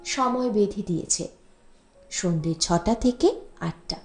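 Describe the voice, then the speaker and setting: A woman reading a literary short story as an audiobook narrator, in a measured storytelling manner. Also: medium pitch. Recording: echoey room, music bed underneath. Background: background music.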